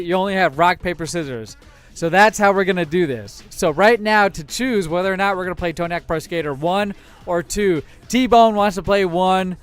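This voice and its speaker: A man's voice: high voice, clean tone. An American man hosting a casual game livestream, talking in an enthusiastic, rambling way. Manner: enthusiastic, rambling, mild swearing. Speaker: livestream host. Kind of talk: casual game streaming chat. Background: faint music.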